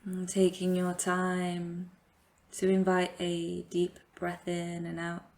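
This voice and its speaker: A woman leading a guided meditation as a yoga teacher, giving gentle, invitational instructions with long pauses. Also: fairly low pitch, completely flat delivery.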